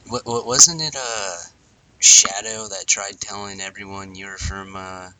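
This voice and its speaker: A man's voice: fairly low pitch, fairly even intonation, unhurried, very noisy. A young man asking puzzled questions in a casual podcast chat as co-host. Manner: quizzical, asks naive questions.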